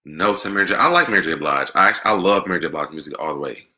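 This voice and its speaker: Deep, very forceful American man. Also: animated, fast talker. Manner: conversational, emphatic, repeats words for emphasis. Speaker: online show host. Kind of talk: casual live Q&A chat about music.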